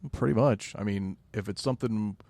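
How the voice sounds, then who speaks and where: A man's voice: lowish voice, normal speed, creaky, darkish tone, no room sound. A man co-hosting a podcast in casual chat, laid-back with dry jokes and hesitant fillers. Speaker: podcast co-host.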